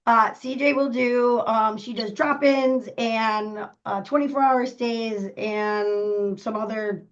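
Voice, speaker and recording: A woman co-hosting a pet podcast, hoarse from a cold, talking casually with lots of ums and throat clearing. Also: fairly high pitch, fairly even intonation, slow pace, few pauses. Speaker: podcast co-host, dog boarder. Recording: dull lo-fi audio.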